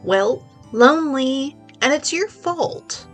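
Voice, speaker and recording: A woman's voice: high voice, expressive, takes it slowly, faint music behind. A woman with a stuffy voice voicing a perky, teasing young woman, a kid sister bantering on the phone in a video game read-along. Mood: playful.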